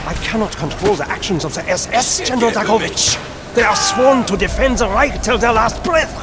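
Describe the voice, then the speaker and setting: Imperious German scientist. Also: male voice, high voice, animated, brisk. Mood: urgent. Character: haughty, defiant German scientist. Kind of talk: video game acting. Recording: music behind.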